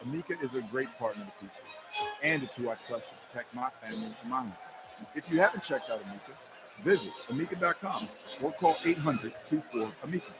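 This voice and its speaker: A man's voice: medium pitch, expressive, fast, poor audio. A man delivering a scripted radio ad testimonial as a sponsor spokesman. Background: loud music.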